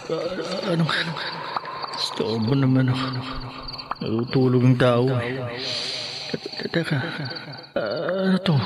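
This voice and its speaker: An actor voicing a frightened young man who stammers in a radio horror drama. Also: a little high. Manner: stammering, panicked. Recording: radio drama with cricket noise.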